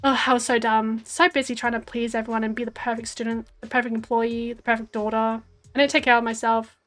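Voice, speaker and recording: A hoarse young woman with an Australian accent, reading aloud as a bitter, vengeful ghost woman in a slow, quiet, brooding way. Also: fairly high pitch, little pitch movement, faint music behind, close to an everyday voice. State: hoarse, losing her voice.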